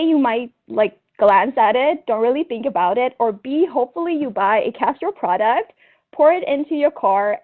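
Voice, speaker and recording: Young woman from Thailand, slightly high voice, gravelly edge, earnest testimonial delivery, sounds like a phone line. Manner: earnest, steady, frequent ums and self-corrections. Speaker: procurement manager. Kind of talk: recorded testimonial talk. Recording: tinny phone-like audio.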